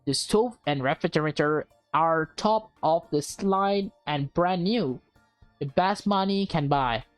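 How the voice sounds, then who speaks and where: A woman's voice: deep voice, measured pace, dry recording, faint music behind. A woman voicing a courteous, eager female real estate agent in a visual novel, with polite sales patter. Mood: polite, salesy.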